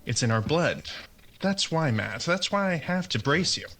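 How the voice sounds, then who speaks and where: A man playing a fatalistic veteran gunfighter in a radio western, menacingly calm, deliberate and brooding. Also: on the high side, slight background noise. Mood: grave, resigned. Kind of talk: old-time radio western acting.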